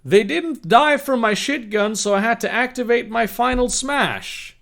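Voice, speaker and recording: A man's voice: extremely high pitch, a little slow, creaky, a bit bright, voice pushed up, heavily acted. A man voicing an earnest heroine who narrates her battle in a theatrical, declamatory way. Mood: dramatic, earnest.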